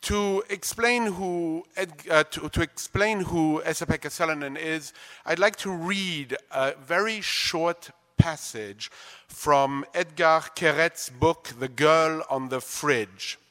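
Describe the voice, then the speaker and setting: Brief: male event host, bright forceful tone, effusive and formal, long hall reverb. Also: on the high side, slow. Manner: effusive, formal with playful asides. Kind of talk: stage introduction and reading. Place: large library event hall. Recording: reverberant auditorium.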